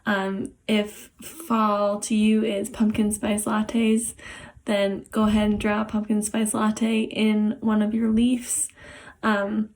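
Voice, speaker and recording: A young woman with a raspy, hoarse voice and a cough, guiding an online art therapy lesson at home in a relaxed way with many pauses. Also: mid-range voice. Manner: relaxed, many ums, gentle instructions.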